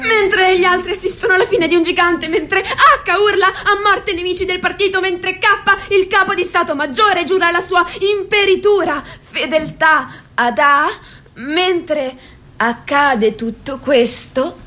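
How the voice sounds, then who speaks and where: A woman playing the narrator of a political radio drama, theatrical and deliberate, drawing out the ends of phrases. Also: extremely high pitch, sharp tone, exaggerated voice. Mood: dramatic, mounting. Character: female narrator chronicling a grotesque political meeting.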